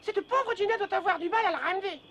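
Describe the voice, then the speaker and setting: A woman voicing an excitable, gossipy little cartoon neighbour with a chattering, exclamatory manner. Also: extremely high pitch, fast talker, very noisy. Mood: excited. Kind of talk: animated cartoon dub acting. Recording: music under the voice. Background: background music.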